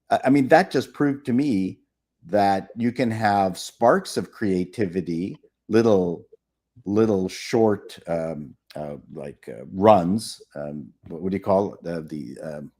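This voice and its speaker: A man with a fairly low voice speaks very slowly on a podcast panel. He halts often, searching for words between his points. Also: darkish tone. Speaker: former TV comedy executive. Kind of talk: casual panel chat.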